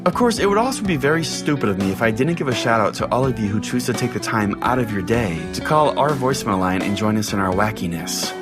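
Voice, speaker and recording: A man hosting a podcast, reading a scripted sign-off in a wry, deadpan way. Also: fairly low pitch, quick pace, hardly any pauses. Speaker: podcast host. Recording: music bed under the voice. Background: plucked guitar music.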